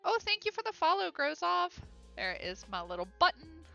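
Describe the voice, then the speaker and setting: A woman's voice: very high-pitched, sing-song, moderate pace, creaky, pushed and bright, faint music behind. A woman with a Midwest American accent chats casually on a livestream while she draws, reading out viewer comments with fillers and giggles. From Ohio, USA. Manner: chatty and upbeat, with frequent fillers and giggles. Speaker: illustrator live-streaming her drawing.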